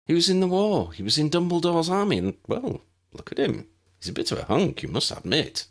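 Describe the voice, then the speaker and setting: A man's voice: on the high side, very animated, a little fast, voice pushed up. A man voicing a chatty, grinning teenage schoolgirl in an audiobook. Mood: gossipy. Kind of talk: audiobook character voice.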